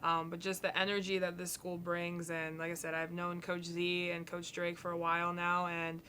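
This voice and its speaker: A young woman with a Minnesotan accent talks about her coaching in an upbeat, chatty way. Her voice is lowish and fairly flat in pitch but bright and sharp. Faint noise hums behind her. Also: moderate pace. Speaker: head track coach. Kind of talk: casual interview answers.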